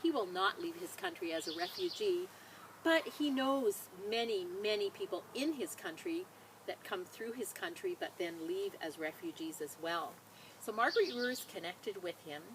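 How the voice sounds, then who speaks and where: A woman reading a children's picture book aloud in a measured, expressive storytelling manner, with spoken asides. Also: on the low side, a little hiss or hum, rough recording. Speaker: storybook reader.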